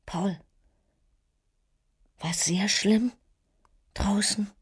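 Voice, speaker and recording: An actress playing a frail, worried and tender sick mother in a radio drama, with a breathy voice. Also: medium pitch, steady tone, exaggerated voice. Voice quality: husky, breathy. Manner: slow, anxious, tender.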